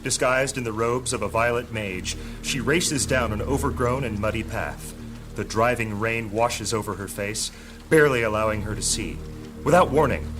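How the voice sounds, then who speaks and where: A man performing as the solemn storyteller of a high fantasy audio drama, reading scripted dramatic narration. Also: natural voice. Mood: dramatic, urgent. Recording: music behind the voice.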